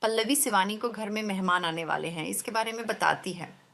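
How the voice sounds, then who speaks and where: A woman's voice: medium pitch, a little fast, creaky, fairly bright tone. A woman narrating a scripted story as the storyteller.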